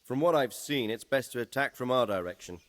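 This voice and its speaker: An actor playing a keen, tactical military captain in a medieval video game, businesslike and probing. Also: male voice, mid-range voice, lively intonation, fairly quick, a bit bright, in a large hall, close to an everyday voice. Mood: keen, calculating. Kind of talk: dramatic video game cutscene acting.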